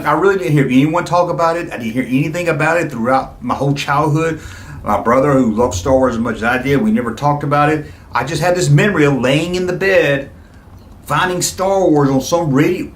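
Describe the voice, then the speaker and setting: A middle-aged man hosts a casual video, reminiscing in an enthusiastic, rambling way. His pitch sits in the middle for a man. The sound is noticeably roomy, with audible echo around his conversational delivery. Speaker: YouTube video host. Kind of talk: casual nostalgic reminiscing to viewers.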